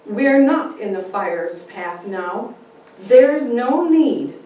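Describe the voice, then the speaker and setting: A woman's voice: on the high side, lively intonation, warm tone, pitched above the performer's own voice. Steely frontier wife, acted by a woman, echoey room. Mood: defiant. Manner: slow, deliberate, emphatic. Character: steely, plain-spoken frontier wife, unafraid and defiant. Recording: very echoey room, distant mic, faint noise.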